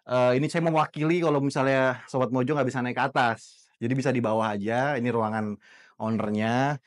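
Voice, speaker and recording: Pria pembawa acara ramah dan bersemangat. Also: male voice, medium pitch, steady tone, fairly quick. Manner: chatty, eager, frequent fillers. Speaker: host. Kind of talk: casual office tour and chat.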